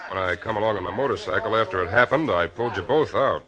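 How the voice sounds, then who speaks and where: An actor playing a steady, kindly motorcycle policeman in a 1940s radio drama, plainspoken, folksy and polite. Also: lowish voice, quick pace, a crowd in the background, lightly acted. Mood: gentle, sober. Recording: old radio drama recording.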